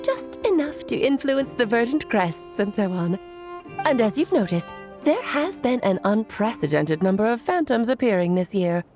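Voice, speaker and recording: A woman's voice: fairly high pitch, very animated, moderate pace, a little mellow, faint music behind. A woman voicing a playful, eccentric, theatrical adult witch in a video game, with a whimsical manner. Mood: explaining.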